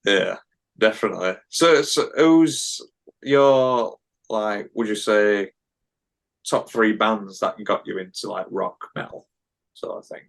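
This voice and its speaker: A man's voice: lowish voice, takes it slowly, creaky. A man hosting a casual podcast interview, asking hesitant, filler-heavy questions. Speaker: podcast host.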